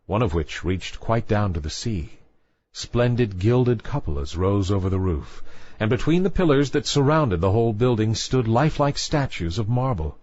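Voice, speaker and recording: A man with a fairly low voice narrates a children's fairy tale as a storyteller. His pitch rises and falls in a very animated way. The recording is very dry and slightly muffled, with a lo-fi dullness. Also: dry recording.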